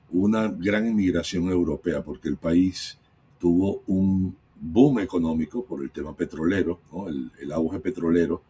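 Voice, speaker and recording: Deep, dark-voiced Venezuelan man explaining. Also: slight background noise. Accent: Venezuelan Spanish. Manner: expansive, lecturing with rhetorical tags. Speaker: real estate guest commentator. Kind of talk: explanatory conversation.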